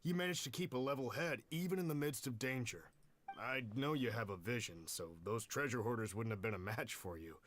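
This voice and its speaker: A man's voice: mid-range voice, brisk, a bit bright, natural voice. A man voicing a friendly, grateful villager and family man in a game, with an earnest, courteous, welcoming manner. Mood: admiring. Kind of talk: game voice acting, storytelling dialogue.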